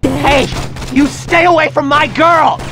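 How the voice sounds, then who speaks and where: An actor playing a possessive, jealous man in a video game, whiny and confrontational, with loud car noise behind him. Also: very high-pitched, fairly even intonation, takes it slowly, creaky, heavily acted. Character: possessive, jealous male suitor. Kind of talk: video game cutscene acting.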